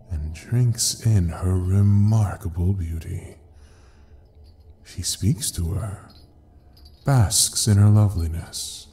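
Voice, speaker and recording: Man acting as devil of hell, low-pitched, mellow dark timbre, mocking, seductive, theatrical, audio roleplay. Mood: storytelling, sly. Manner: theatrical, drawn-out taunting delivery with pauses. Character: sinister, grandiose male devil ruling hell, mocking and seductive.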